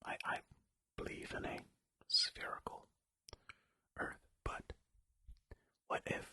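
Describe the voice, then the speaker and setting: This is a whispered ASMR narration from a channel host. The voice is unvoiced and very bright, with crisp, hissing edges. The host reads out facts, then drifts into rambling, self-deprecating asides, all kept in the same whisper. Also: slow pace, no echo. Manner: whispering throughout.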